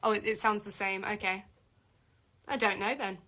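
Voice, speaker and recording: Woman, livestream host, crisp bright tone, reads comments aloud, lots of ums and restarts. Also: steady tone, normal speed. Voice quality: deep voice for a woman. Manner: reads out comments then answers, many fillers and restarts. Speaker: livestream host answering viewer questions. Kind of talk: casual livestream Q&A.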